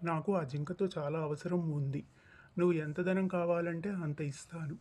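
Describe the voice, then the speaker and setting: Man acting imperious high-voiced king. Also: fairly even intonation, normal speed, a little mellow, lightly acted. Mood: insistent. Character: commanding king, anxious father, quick to threaten. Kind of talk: acted cartoon story dialogue.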